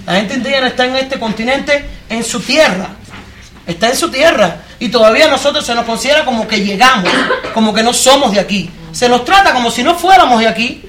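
A woman from Cuba, speaking with a Cuban accent, holds the floor at a live discussion. She talks in a direct, forceful way and keeps turning to the audience with pointed questions. A faint background murmur sits under her voice. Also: a little high, lively intonation, fairly quick, a little hiss or hum. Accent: Cuban Spanish. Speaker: panelist at a public discussion. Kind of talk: impassioned live panel talk.

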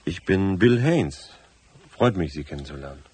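An actor playing a lonely, hard-drinking cabin caretaker in a German radio drama, blunt and colloquial with a gravelly voice. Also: male voice, low voice, animated, normal speed, darkish tone, no echo. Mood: gruff, curious. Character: lonely, hard-drinking limping male cabin caretaker, rough and plain-spoken.

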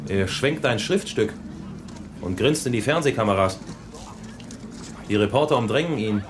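An actor playing an anxious, loyal aide in a radio play, talking fast about trouble outside. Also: male voice, medium pitch, lively intonation, noisy background, natural voice. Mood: worried. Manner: anxious, talkative. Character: worried, loyal male aide. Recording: crowd murmur behind.

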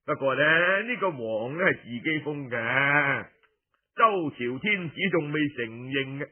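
A high-pitched male storyteller narrates historical tales at a slow pace. He is colloquial and theatrical, stretching the ends of phrases and pausing between them. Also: phone-quality sound. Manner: colloquial, theatrical, drawn-out phrase endings with pauses. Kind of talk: traditional Cantonese oral storytelling of historical tales.

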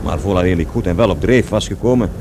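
A man's voice: low voice, quick pace, background noise. An actor playing a defiant young man who recalls his schooldays and his father in colloquial, scornful slang for a radio drama. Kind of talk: radio drama acting, animated storytelling.

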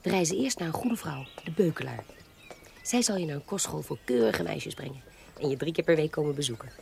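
Low-voiced, determined aunt. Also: female voice, expressive, fairly quick, vocal fry, a little mellow, slight background noise, exaggerated voice. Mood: assured, instructive. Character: determined, shrewd woman posing as a baroness, protective aunt. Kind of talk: period audio drama acting.

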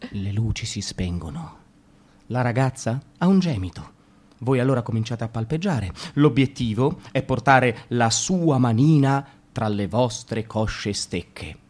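A man reading a radio drama with an Italian accent, slow and halting, rambling in places. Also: mid-range voice, animated, a little mellow.

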